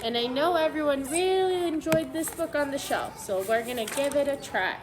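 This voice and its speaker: A woman preschool teacher reading a picture book aloud to young children, pausing to ask them questions in an instructive way. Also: high-pitched, animated, background noise.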